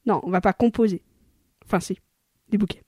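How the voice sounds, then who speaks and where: A woman's voice: mid-range voice, fairly even intonation, a little fast, warm tone, breathy edge, no echo, clean studio sound, lightly acted. A woman playing a rambling production coordinator. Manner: rambling, full of fillers like "euh" and "hein". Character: hurried female production coordinator briefing an actor. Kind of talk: amateur radio play acting.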